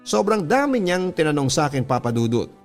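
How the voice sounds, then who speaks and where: A man's voice: fairly high pitch, big pitch swings, brisk. A man with a Filipino accent reading a letter as scripted first-person radio drama narration, in an earnest, sentimental, polite storytelling manner. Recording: music bed under the voice. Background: background music.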